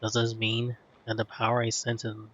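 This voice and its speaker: A man's voice: fairly low pitch, completely flat delivery, normal speed, a bit bright, a little hiss or hum. A man commenting casually on a game he is playing, in brief, subdued remarks on a dull lo-fi mic. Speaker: gamer commentating a playthrough. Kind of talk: casual reactions to game dialogue. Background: faint game music.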